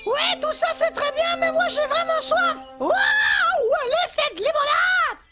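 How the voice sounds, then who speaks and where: An actor voicing an impatient, grumbling little bird in a French children's cartoon, blunt, whiny and loud. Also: very high voice, measured pace, over loud music.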